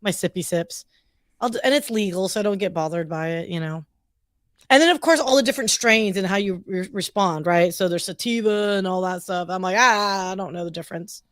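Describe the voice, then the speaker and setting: A woman with a raspy voice chatting over a video call, giggly, often trailing off mid-sentence. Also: mid-range voice, fairly quick, a bit bright, dry recording, clean studio sound. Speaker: podcast guest. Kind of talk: casual chat between friends.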